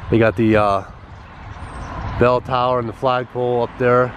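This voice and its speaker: A man with a stuffed-up, congested voice, casually vlogging outdoors at a rural schoolhouse and talking in halting bits full of pauses and fillers. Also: medium pitch, flat delivery, highs cut off, a little hiss or hum. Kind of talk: casual walk-around vlog narration.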